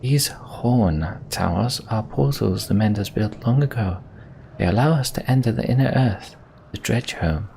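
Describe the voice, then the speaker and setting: A solemn fantasy game sorceress, read by a man, earnest and devoted. The delivery is measured and sincere, in a creaky, low register. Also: lively intonation, dark tone, a little hiss or hum.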